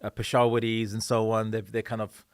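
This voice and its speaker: A man's voice: lowish voice, monotone, fairly quick, dry recording. Hesitant British-based man, dry close sound. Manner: hesitant, many fillers and restarts. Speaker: podcast host. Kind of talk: conversational interview.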